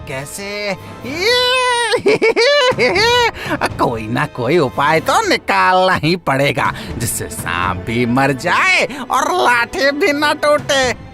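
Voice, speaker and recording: A woman's voice: medium pitch, bright, forceful tone, exaggerated voice. A woman voicing a greedy, sly male shopkeeper in a cartoon moral story, muttering and repeating words in a wheedling way. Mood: scheming. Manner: drawn-out, repetitive muttering with sly chuckles.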